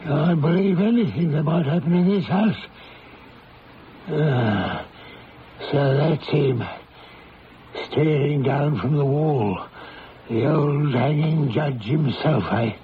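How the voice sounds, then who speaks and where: An actor playing a superstitious village tavern keeper with a rustic, foreboding manner in a radio drama. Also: male voice, fairly high pitch, takes it slowly, long pauses. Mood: ominous. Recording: dull lo-fi old radio, faint noise.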